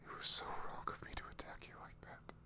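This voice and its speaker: A man playing an emotional, remorseful ex-boyfriend in an intimate ASMR roleplay, speaking softly and haltingly as he pleads and confesses. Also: natural voice. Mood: whispered pleading. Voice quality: soft, breathy, low murmur with creak.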